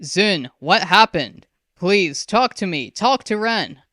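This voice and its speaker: A woman's voice: medium pitch, lively intonation, slow pace, a bit bright, no echo, studio quality, pitched above the performer's own voice. A woman voicing a stern, worried father who gives firm orders. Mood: pleading.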